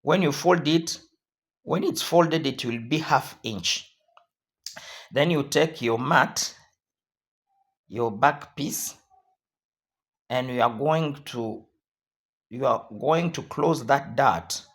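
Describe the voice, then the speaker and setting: Hoarse male tutorial host, slow and repetitive instructional delivery. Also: mid-range voice.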